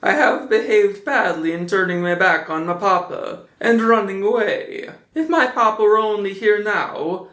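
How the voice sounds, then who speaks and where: A hungry wooden puppet boy frets and whines in a thin, high voice, as a man puts him on. The storybook voice sits well above a grown man's and bounces around an echoey room. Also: measured pace, few pauses, a bit bright. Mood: despairing. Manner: high, whining and fretful.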